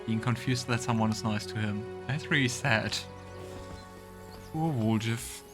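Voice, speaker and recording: A man's voice: on the low side, a little slow, music in the background. A man giving casual let's play commentary on a video game, musing aloud to himself as he goes. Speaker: streamer playing and commenting on a game.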